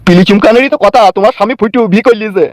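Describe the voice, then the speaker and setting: A man's voice: high voice, racing, natural voice. A man voicing a cheeky, brazen village husband in a comedy cartoon, teasing and crude. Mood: gleeful.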